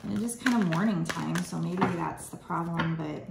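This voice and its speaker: Low-voiced woman giving a tarot reading. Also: normal speed, gravelly, reverberant, very noisy. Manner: rambling, reassuring, many fillers. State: slightly froggy voice. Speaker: tarot reader.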